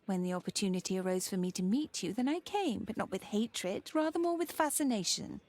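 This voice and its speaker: A woman playing a self-possessed, enigmatic young woman in a video game drama, speaking in a measured, eloquent way. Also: mid-range voice, animated, a little mellow, natural voice. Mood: composed, wistful.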